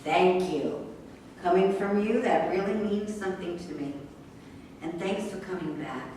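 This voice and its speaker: A woman reading the part of a defiant, sharp-tongued racehorse trainer in a staged reading, combative and sarcastic. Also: on the low side, measured pace, lightly acted. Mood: pleased, brisk. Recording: echoey room, distant mic, faint noise.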